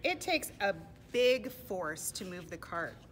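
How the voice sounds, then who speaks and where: A woman's voice: medium pitch, sing-song, slow, slight background noise. A woman voicing a patient, hardworking mother donkey in a children's storybook, explaining things with emphasis.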